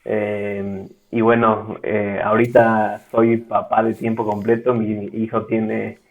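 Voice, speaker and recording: A man with a Mexican accent, speaking as a guest in a casual interview, measured and often pausing on "eh". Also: lowish voice, flat delivery. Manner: measured, with frequent "eh" fillers. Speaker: guest, ministry school director. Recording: tinny phone-like line.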